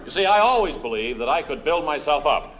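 Shameless corrupt mayor, noisy old radio. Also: male voice, fairly high pitch, animated, fairly quick, fairly bright tone. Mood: smug, unruffled. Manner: genial, unashamed, matter-of-fact about crooked deeds. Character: brazenly corrupt small-town mayor, smug and shameless. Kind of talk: deadpan comedy interview sketch.

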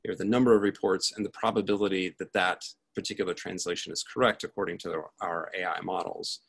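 Low-pitched man giving a talk. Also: gravelly. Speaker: conference speaker, tech research lead. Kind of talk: prepared talk to an audience.

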